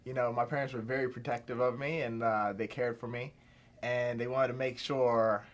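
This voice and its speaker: A man's voice: medium pitch, fairly even intonation, moderate pace. Rambling young man from Pittsburgh. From Pittsburgh, USA. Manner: rambling, many fillers and restarts. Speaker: filmmaker guest. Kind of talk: casual interview chat.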